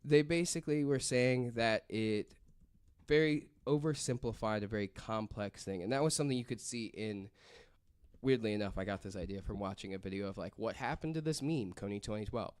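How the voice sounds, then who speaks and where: A man's voice: lowish voice, fairly quick, darkish tone, clean tone, no room sound. A man presenting a story on a casual podcast, reading from notes and rambling through asides peppered with "like".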